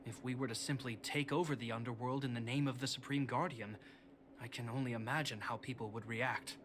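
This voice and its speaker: A man's voice: mid-range voice, fairly even intonation, brisk, lightly acted. Male actor for dutiful young captain in a game; medium register, diplomatic and composed, noticeable noise behind. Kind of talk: scripted video game voice acting.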